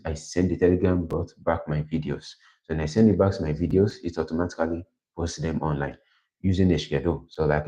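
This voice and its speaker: Deep-voiced man rambling through plans. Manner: rambling, self-correcting, plans out loud. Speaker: livestream host. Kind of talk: casual thinking aloud. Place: quiet indoor room. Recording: dull lo-fi livestream audio.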